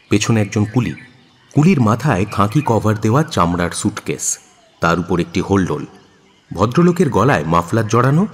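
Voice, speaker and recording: Story narrator, male: very low, husky, animated delivery for dramatised fiction, in a reverberant space. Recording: long hall-like reverb.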